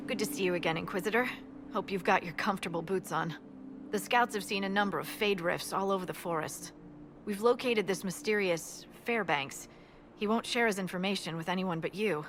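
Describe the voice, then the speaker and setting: A woman's voice: mid-range voice, a little fast, background noise, lightly acted. A woman voicing a friendly, informal army scout in a video game, giving briefings conversationally. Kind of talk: video game dialogue acting.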